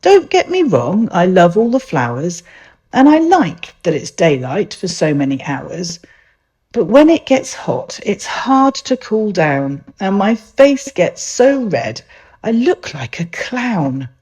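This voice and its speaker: A woman voicing a gentle, scatty, dramatic witch in a children's storybook, chatty and full of exclamation. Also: on the low side, sing-song, slow pace. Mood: grumbling.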